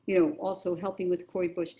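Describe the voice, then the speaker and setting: Unhurried woman with a mellow voice. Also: lowish voice, little pitch movement. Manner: thoughtful, unhurried, many fillers. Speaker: arts producer. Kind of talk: casual group check-in on a video call. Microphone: video call.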